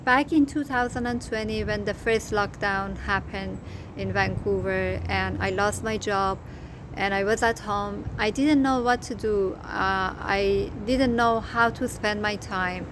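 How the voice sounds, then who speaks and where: A woman's voice: medium pitch, steady tone, unhurried. A middle-aged woman with a Persian accent, whose first language is Farsi, telling her story as a crochet designer in a casual, hesitant way, with many fillers and restarts. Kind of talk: casual personal story to camera. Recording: noticeable background noise.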